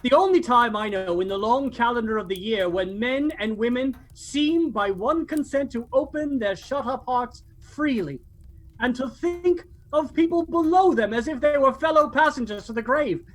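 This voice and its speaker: An actor playing a cheerful, warm-hearted nephew in a radio play, speaking in an earnest, declamatory way. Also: male voice, extremely high pitch, lively intonation, normal speed.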